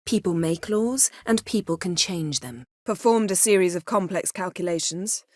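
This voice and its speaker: A woman voicing a proud, sharp-tongued sorceress in a video game, speaking in a cool, measured, sardonic and commanding manner. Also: lowish voice, expressive, no room sound, clean studio sound. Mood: dry, sardonic.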